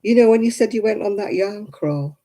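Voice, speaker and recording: A woman in her seventies hosting a crafting livestream, chatting homely and warmly with viewers by name and laughing often. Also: mid-range voice, brisk. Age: 70s. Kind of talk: casual chat reading out viewer comments.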